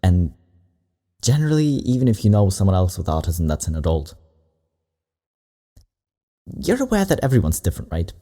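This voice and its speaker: A man's voice: deep voice, moderate pace, long pauses. A man hosting a reaction video, giving casual, rambling commentary with asides. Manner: relaxed, rambling asides with laughs.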